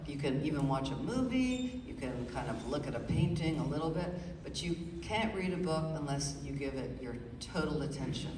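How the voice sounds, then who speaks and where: A middle-aged woman, an author, speaking thoughtfully and with many pauses in a live radio interview. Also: low-pitched, background noise. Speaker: author guest. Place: stage before a live audience. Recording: roomy hall, far from the mic, audible ambience.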